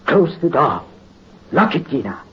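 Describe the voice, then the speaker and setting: A dying count gives orders from his deathbed in a weak but imperious voice pitched a little high. He is bitter and set on revenge. The sound is an old 1930s radio recording, dull and faintly hissy. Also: big pitch swings, a little slow. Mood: commanding. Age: 50s.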